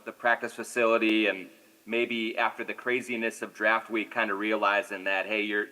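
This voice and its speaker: Bright, forceful male reporter, fairly low. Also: poor audio. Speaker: sports reporter. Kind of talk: asking a press conference question. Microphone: remote video call.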